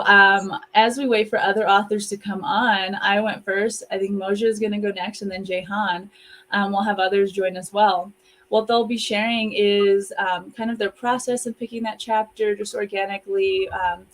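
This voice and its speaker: A woman hosting in a casual, reflective conversational style, speaking over an online video call. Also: medium pitch. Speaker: host and co-author.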